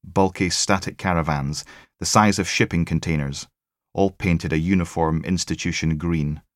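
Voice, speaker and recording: A man's voice: low voice, steady tone, moderate pace, no echo, clean studio sound. A man reading a crime thriller as scripted audiobook narration.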